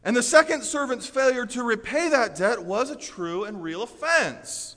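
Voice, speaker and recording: A man's voice: extremely high pitch, animated, moderate pace. An American man preaching a sermon as a pastor, earnest and emphatic in delivery. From Orlando, Florida, United States. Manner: earnest, emphatic, swinging from forceful declarations to quieter storytelling. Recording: reverberant large hall.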